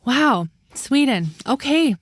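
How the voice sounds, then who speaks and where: A woman's voice: high voice, animated, takes it slowly, no echo. A woman from Canada hosting a podcast, asking curious, attentive follow-up questions. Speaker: podcast host. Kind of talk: interviewing a guest.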